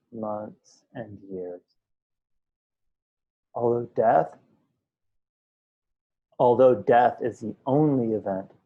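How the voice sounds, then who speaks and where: A man teaching a guided meditation, speaking in unhurried short phrases with pauses between them. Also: medium pitch, narrow, tinny audio. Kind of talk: guided meditation, slow instructional speech.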